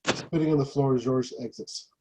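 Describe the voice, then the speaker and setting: A man reading stage directions as scripted narration in a husky voice. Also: mid-range voice, fairly even intonation, moderate pace, a little mellow, low-quality recording.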